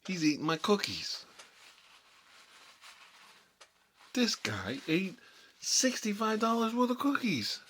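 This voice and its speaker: A man's voice: high voice, wildly swooping pitch, a little slow, fairly bright tone, a little hiss or hum, full character voice. A man playing a hot-headed, sentimental mob boss in an improvised comic monologue, talking in a rambling, repetitive, colloquial way. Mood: outraged ranting.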